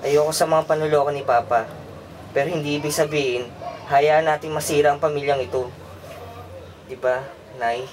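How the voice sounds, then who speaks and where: A man's voice: a little high, fairly even intonation, normal speed, pauses often, a little hiss or hum, close to an everyday voice, poor audio. A man acting an earnest, protective teenage son in a radio drama. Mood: stern pleading.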